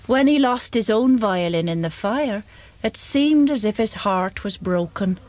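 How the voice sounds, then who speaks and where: A woman's voice: mid-range voice, expressive, a little slow, darkish tone, dry recording, lightly acted. A woman playing an anxious, tender-hearted mother, pleading and emotional, in an audio drama. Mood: sorrowful.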